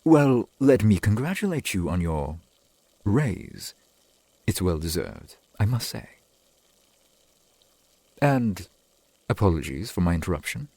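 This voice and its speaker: A man acts out a centuries-old vampire boyfriend for an ASMR roleplay, doting and formal. His low voice is husky and breathy, with unhurried, lilting phrases that swing widely in pitch. He speaks with old-world courtesy. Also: sing-song, no echo, heavily acted. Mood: courteous, concerned. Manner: formal, archaic, gently attentive phrasing.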